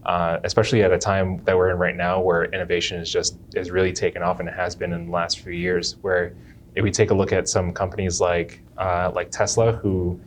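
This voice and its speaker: Young male chiropractor for an interview, very low voice, unhurried, restarts his sentences, faint room hiss behind. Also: level tone. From Jamaica. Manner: unhurried, restarts phrases often. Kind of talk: interview answers.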